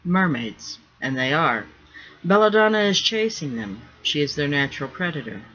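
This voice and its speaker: A man voicing a wry pirate captain and father, dry, measured and matter-of-fact. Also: on the high side, very animated, pushed and bright. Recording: dull lo-fi recording.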